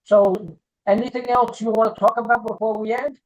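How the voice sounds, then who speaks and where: A man's voice: very high voice, little pitch movement, brisk, rough recording. A man in his sixties hosts a casual video interview, mostly giving short agreeing replies and repeating his words. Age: 60s.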